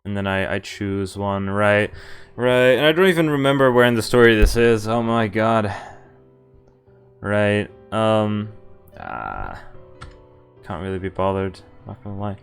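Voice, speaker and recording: A man streaming a game, reading its text aloud and commenting informally, in a halting way as he thinks out loud. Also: lowish voice, expressive, gravelly, clean tone. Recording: music under the voice. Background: game music.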